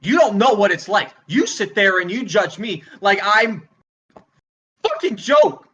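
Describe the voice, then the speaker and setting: A man playing a volatile, failing stand-up comedian in a screenplay read, halting, stammering and exasperated. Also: high voice, expressive, pushed and bright, a little hiss or hum, exaggerated voice. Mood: angry, confrontational. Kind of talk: dramatic screenplay table read, stand-up monologue.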